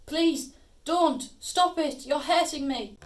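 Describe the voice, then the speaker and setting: A woman's voice: sits high, takes it slowly. A woman playing a worried but defiant sister in an audio drama, with an earnest, pleading delivery. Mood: frightened.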